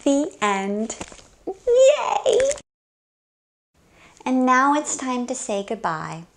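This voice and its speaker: A high-voiced woman reads a picture book to young children as a story time host. Her delivery is slow and very expressive, with lively swings in pitch and a sing-song, exclaiming manner. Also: vocal fry, a little hiss or hum.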